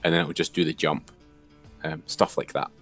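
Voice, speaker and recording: A man's voice: very deep voice, level tone, brisk, fairly bright tone, soft background music. A man giving an informal, step-by-step screen-share tutorial as an instructor.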